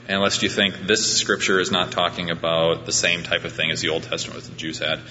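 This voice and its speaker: A man with a deep voice preaching an informal, conversational sermon as a pastor, with a self-deprecating manner. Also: bright, forceful tone. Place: large reverberant church room during a service. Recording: reverberant hall, muffled, background hum.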